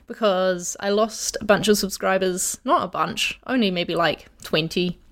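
A woman's voice: mid-range voice, measured pace, a bit bright. Female podcast co-host, friendly and enthusiastic, explains things step by step, mid-range voice. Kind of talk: casual podcast chat.

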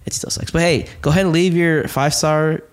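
A man's voice: a little high, fairly even intonation, brisk. A young man with a slight Mexican accent, co-hosting a casual podcast and ranting bluntly, swearing freely. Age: young adult. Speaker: podcast co-host.

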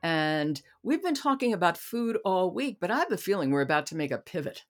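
A woman's voice: fairly low pitch, very animated, brisk, soft background music. A woman hosting a podcast, speaking in a conversational interview style as she prompts a guest. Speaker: podcast host.

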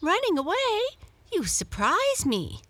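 A woman voicing a chatty, curious female house cat in a storybook, pitching her voice high and asking eager questions. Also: big pitch swings, unhurried, fairly bright tone, no echo, exaggerated voice.

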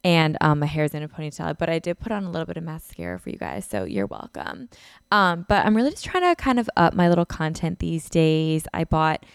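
A woman's voice: deep voice, big pitch swings, brisk, gravelly, clear, resonant tone, dry recording, clean studio sound. A young woman hosting her own podcast in a casual, chatty way, sounding a bit congested and filling her talk with "like", recorded on a podcast mic in a beach house living room. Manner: chatty, lots of "like" fillers. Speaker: podcast host. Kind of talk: casual solo podcast chat.

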